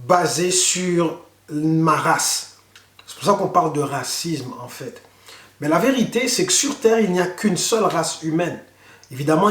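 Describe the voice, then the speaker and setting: A man, a pastor, giving an earnest online sermon and slowing down to stress key words. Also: high-pitched. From Canada. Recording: echoey room.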